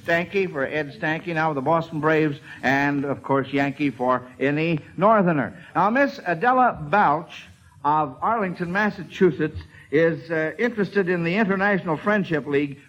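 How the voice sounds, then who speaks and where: A man's voice: a little high, expressive, moderate pace, fairly bright tone. A man hosting a 1940s radio quiz show in a folksy, hesitant, rambling way. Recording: dull vintage radio broadcast recording.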